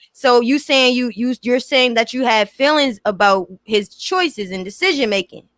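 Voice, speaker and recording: A woman's voice: fairly high pitch, unhurried, a bit bright, dry recording. Casual woman host, dry close sound. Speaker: host interviewing a guest. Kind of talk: casual chat interview.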